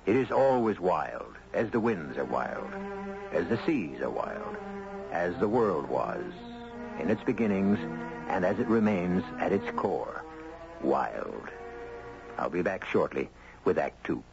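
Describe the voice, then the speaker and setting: Male host-narrator for vintage radio mystery: deep, expressive, measured and theatrical, music behind. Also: vocal fry. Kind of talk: dramatic scripted narration, 1970s radio. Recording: muffled old radio broadcast.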